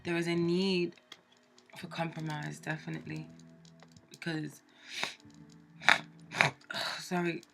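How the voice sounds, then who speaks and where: A woman's voice: low-pitched, level tone, takes it slowly, gravelly, pauses often, fairly bright tone, faint music behind. A woman with a cold, sounding stuffed up, giving a chatty tarot reading with plenty of fillers and restarts. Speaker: tarot reader. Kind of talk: casual tarot card reading.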